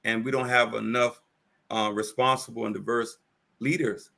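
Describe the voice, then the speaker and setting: A man hosting a talk show, answering his guest in halting, casual discussion. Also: medium pitch, little pitch movement, creaky, bright, forceful tone. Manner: halting, restarts then lays out ideas.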